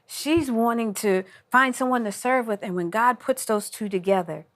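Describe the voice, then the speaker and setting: A woman's voice: on the high side, moderate pace, fairly bright tone. A middle-aged woman speaking in a casual, reflective way as co-host of a talk show.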